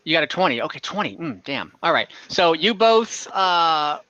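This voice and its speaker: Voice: mid-range voice, very animated, normal speed, lo-fi sound, crowd noise. A game master running an online fantasy tabletop game, improvising narration and thinking aloud in a casual, easygoing way. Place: online voice call.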